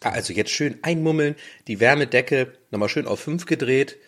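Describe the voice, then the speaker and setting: A man's voice: mid-range voice, moderate pace. A man co-hosting a casual chat in a rambling, joking, self-deprecating way. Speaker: co-host.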